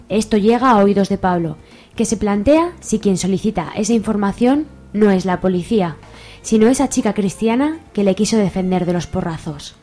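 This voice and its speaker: A woman's voice: mid-range voice, warm tone. Spanish woman narrating a radio serial.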